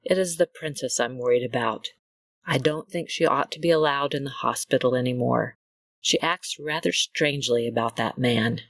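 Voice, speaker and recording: A woman's voice: a bass voice, moderate pace, creaky, dry recording, heavily acted. A woman playing a dutiful Amazon doctor in an old-style radio serial, formal and matter-of-fact. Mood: concerned. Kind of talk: 1940s radio serial acting.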